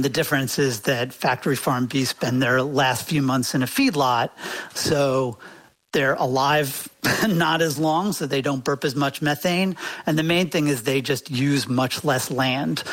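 A man's voice: mid-range voice, a bit bright, poor audio. A man speaking as an interview guest, explaining things in an informal, conversational way peppered with filler phrases. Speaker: guest author.

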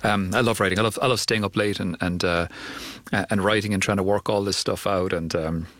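A man with a Cork Irish accent speaks in a fairly low voice as an interview guest. He talks thoughtfully and often stops to repeat words and restart his sentences. The sound is dry and close. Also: fairly even intonation, a little fast, fairly bright tone, no echo. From Ireland (Cork). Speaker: filmmaker guest. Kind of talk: interview answers.

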